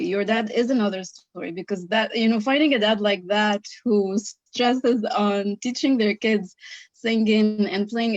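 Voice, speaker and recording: A woman's voice: mid-range voice, no echo, muffled recording. A woman in the audience chatting on a video call, hesitant, with many fillers. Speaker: audience member. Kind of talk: casual chat on a video call.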